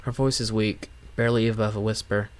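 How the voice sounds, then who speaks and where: A man's voice: lowish voice, steady tone, fairly quick, a little mellow. A man streaming a game, reading story narration aloud and giving casual commentary as host. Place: game playthrough recording with music behind.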